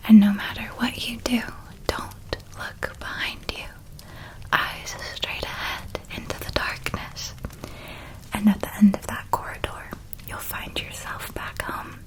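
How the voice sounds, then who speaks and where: A woman performs a kindly, reassuring witch who guides a young student in an ASMR roleplay. Her voice is a hushed whisper with very little melodic movement. She speaks slowly and soothingly, like someone telling a bedtime story. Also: medium pitch, level tone, close to an everyday voice, poor audio.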